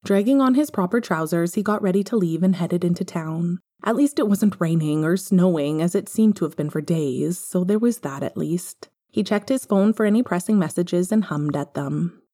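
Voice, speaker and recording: Mellow, low-voiced woman narrating. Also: normal speed, few pauses. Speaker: podfic reader. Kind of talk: scripted story narration.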